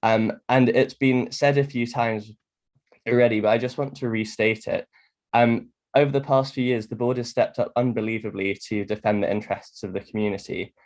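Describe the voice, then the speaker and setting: A man's voice: on the low side, darkish tone. A man giving a prepared speech to a meeting as a deputy, earnest and persuasive with some hesitancy. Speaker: community deputy speaking in a debate.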